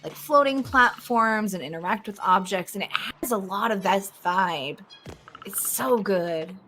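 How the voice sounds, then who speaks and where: A woman's voice: medium pitch, unhurried, sharp tone. A woman with a mid-range voice chats casually as co-commentator on a live game stream. She is jokey and enthusiastic, and there is slight noise behind her.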